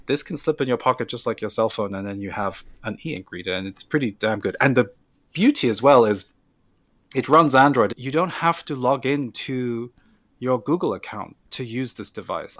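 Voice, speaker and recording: A man's voice: medium pitch, a bit bright, no room sound. A man co-hosting a podcast, chatting enthusiastically while giving a casual product recommendation. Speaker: podcast co-host. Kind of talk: casual chat.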